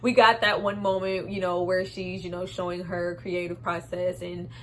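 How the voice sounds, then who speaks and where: A woman's voice: fairly low pitch, flat delivery, moderate pace, a bit bright, slight background noise. A woman giving a casual, opinionated movie review in a candid, conversational and emphatic way. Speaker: film reviewer and host.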